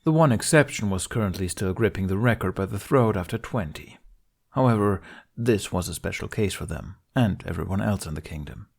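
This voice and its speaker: A man's voice: on the low side, lively intonation, fairly quick, creaky. A man reading scripted fan fiction aloud as an amateur story narrator.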